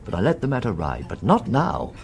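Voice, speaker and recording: A low, very animated man in a radio play, a worried, exasperated friend. He is middle-aged and blunt, pressing his points emphatically and repeating them, with faint noise behind. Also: a little fast, gravelly, exaggerated voice. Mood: shocked, insistent. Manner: urgent, emphatic, repeats himself. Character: concerned, exasperated senior college friend, blunt and insistent. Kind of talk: radio drama acting.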